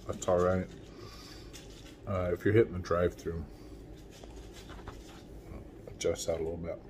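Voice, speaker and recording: A man in his forties chats his way through a food review at home, his voice mellow and a little low. He talks easily between bites, recorded on a phone held close with a faint hiss behind him. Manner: relaxed, chatty, talks while eating. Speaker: food vlogger. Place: small room at home, window blinds. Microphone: phone camera at arm's length.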